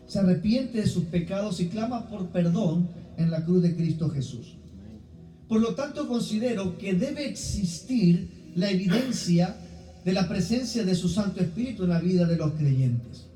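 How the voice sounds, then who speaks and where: Mellow Ecuadorian preacher, distant and roomy. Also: male voice, high-pitched. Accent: Ecuadorian Spanish. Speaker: guest preacher. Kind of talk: sermon with personal introduction. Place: church hall, recorded from a distance. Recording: roomy, distant mic, faint background noise.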